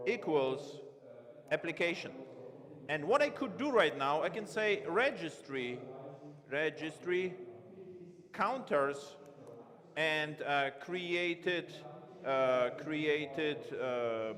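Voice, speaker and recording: A man giving a live-coding conference talk from a large stage, halting and correcting himself as he types. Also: on the high side, a bit bright. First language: not Romanian. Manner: halting, self-correcting, thinks aloud while typing. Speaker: conference speaker. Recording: long reverb of a big hall.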